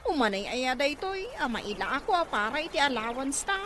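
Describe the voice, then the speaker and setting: An actress plays a spoiled college girl grumbling about farm work in a radio drama. Her voice is extremely high and swings widely, over a noisy recording. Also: sing-song, a little fast, fairly bright tone. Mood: whining, complaining. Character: whiny, lazy college-age daughter avoiding farm work. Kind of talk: Ilocano radio drama acting.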